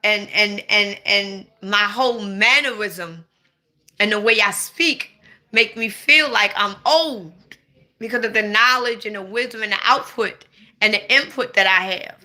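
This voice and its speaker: Woman, 40s, very bright sharp tone, blunt, playful, loud bursts. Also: medium pitch, measured pace. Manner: blunt, playful, swings to sudden loud outbursts. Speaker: livestream host. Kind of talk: casual livestream chat.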